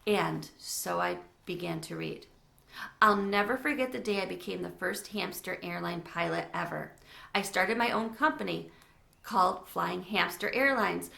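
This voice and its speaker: Woman, children's storybook narration, bright tone, creaky edges, roomy echo. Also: mid-range voice. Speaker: read-aloud reader. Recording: echoey room.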